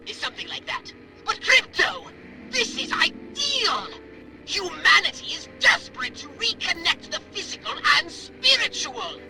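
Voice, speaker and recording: A man voicing a pompous, excitable alien commander in a video game, speaking in a grandiose, theatrical, wordy way. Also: very high-pitched, a little slow, vocal fry, over music, heavily acted, poor audio. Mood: excited. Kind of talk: video game cutscene acting.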